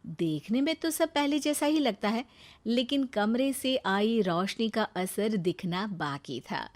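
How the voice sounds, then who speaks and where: A woman's voice: on the high side, animated, a little slow, darkish tone. A woman reading scripted narration for a Hindi moral story as its storyteller.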